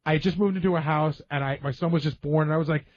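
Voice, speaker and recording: Higher-voiced man telling radio stories, muffled sound. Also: fairly even intonation, fast talker, a little hiss or hum. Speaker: radio show staff member. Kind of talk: casual radio talk, storytelling. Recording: dull, muffled lo-fi audio.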